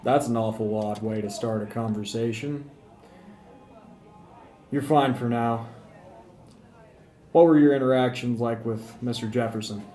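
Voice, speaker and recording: A man's voice: mid-range voice, steady tone, a little slow, pauses often, mellow, close to an everyday voice. A man playing an eager, self-pitying small-town police detective who talks to himself in an amateur noir radio drama. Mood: businesslike, observing. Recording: roomy echo, music behind.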